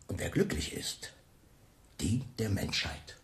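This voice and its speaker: A man's voice: on the low side, big pitch swings, slow. An actor in a radio play voicing a cynical, mocking, melancholy man who swings theatrically between murmurs and cries. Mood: cynical persuasion. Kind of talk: radio drama acting.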